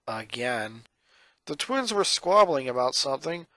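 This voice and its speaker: A man's voice: medium pitch, sing-song, unhurried, bright, forceful tone, no room sound. A man reading a piece of fan fiction aloud as scripted story narration.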